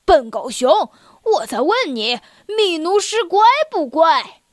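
A woman's voice: high-pitched, normal speed, dry recording, studio quality, natural voice. A woman voicing an impatient male circus lion who barks demands, in a storybook reading. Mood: bossy. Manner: barking, demanding.

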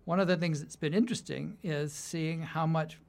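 A man's voice: on the high side, fairly even intonation, moderate pace, vocal fry, darkish tone. A man from the United States, a university writing instructor, giving thoughtful interview answers that often restart mid-sentence and break into light laughs.

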